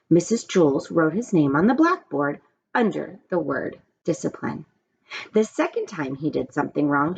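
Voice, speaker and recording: A woman reading a children's story aloud in an expressive storytelling style. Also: fairly low pitch, gravelly. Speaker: story reader. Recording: dull, muffled, background noise.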